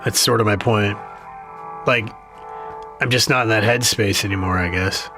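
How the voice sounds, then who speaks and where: An actor playing a jaded, guarded, grieving younger brother in an audio drama, speaking haltingly and self-deprecatingly. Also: male voice, on the low side, fairly even intonation, bright, forceful tone, faint music behind. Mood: guarded, reflective. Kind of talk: naturalistic audio drama dialogue.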